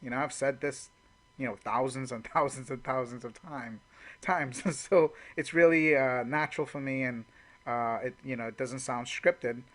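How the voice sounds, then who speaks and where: A man's voice: mid-range voice, normal speed. A man giving an informal sales training talk in a casual, conversational way, often saying "you know".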